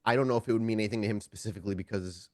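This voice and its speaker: A man's voice: lowish voice, rapid-fire, darkish tone, dry recording, studio quality. A man running a tabletop game as storyteller, narrating scenes off the cuff in a wordy way and often rephrasing mid-sentence.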